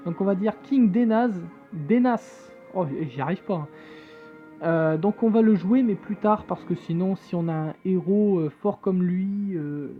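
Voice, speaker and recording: A man gives casual commentary as he plays a game on his phone, searching for his words with many fillers and tossing in jokey asides. His voice comes through thin and tinny, like a phone line. Faint game music plays underneath. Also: high voice. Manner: reads on-screen game text aloud. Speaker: gamer playing on a phone.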